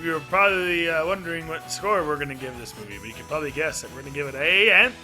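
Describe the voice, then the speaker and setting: Very bright, forceful enthusiastic man. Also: on the high side, animated, quick pace. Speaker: podcast co-host reviewing a movie. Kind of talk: casual chat review. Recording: music behind the voice.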